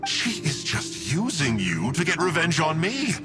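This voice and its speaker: Game villain: flippant schemer, mocking and theatrical, very lively melody in the delivery, music underneath. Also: male voice, a little high, unhurried. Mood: smug, mocking. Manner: breezy, mocking, theatrical, toying with listeners. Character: smug, flippant male villain of a dark order, a scheming schemer. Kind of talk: video game voice acting. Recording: music behind the voice.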